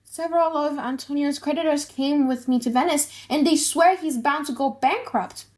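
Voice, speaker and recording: Audiobook drama: gossip-bearing male friend woman reader, plain, matter-of-fact, higher voice. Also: normal speed, darkish tone, close to an everyday voice.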